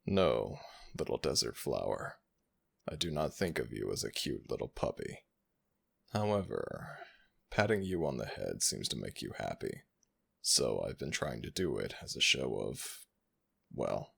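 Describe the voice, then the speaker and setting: Man playing a jackal god, ancient, proud, teasing, husky, lively pitch swings, slow formal delivery, audio roleplay. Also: heavily acted. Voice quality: gravelly, husky. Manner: slow, drawn-out, formal speech. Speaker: voice actor.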